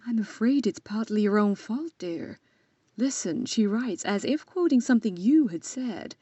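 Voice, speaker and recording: An actress playing a gentle but firm middle-aged mother in a period audio drama, with measured delivery. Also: fairly high pitch, expressive, slightly rough, dry recording, lo-fi sound. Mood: gently regretful.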